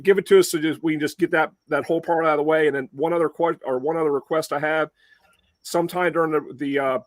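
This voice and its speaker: A man's voice: fairly high pitch, no room sound. A man with a Mid-Atlantic Delmarva accent hosting a casual firefighter webcast, chatty with lots of uh and um. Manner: chatty, many fillers. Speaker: webcast host.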